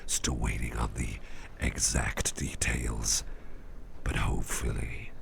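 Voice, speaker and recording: Extremely deep, gravelly, half-whispering man. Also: little pitch movement, slight background noise. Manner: unhurried, gentle, reassuring. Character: soft-spoken, attentive businessman boss who researches legendary artifacts. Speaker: ASMR roleplay performer. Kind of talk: ASMR roleplay, intimate one-sided chat.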